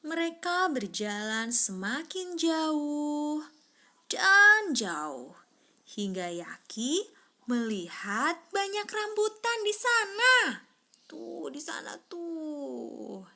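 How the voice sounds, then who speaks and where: A woman reading a children's story aloud expressively, drawing out words and prompting a young listener with questions. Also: sits high. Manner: animated, prompting, drawn-out words for suspense.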